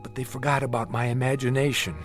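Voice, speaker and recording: An actor in a radio drama playing a haunted, sleepless patient who talks in a halting, edgy, confiding way, afraid of his own imagination. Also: medium pitch, level tone, soft background music, lightly acted. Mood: weary, agitated. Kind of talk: audio drama acting.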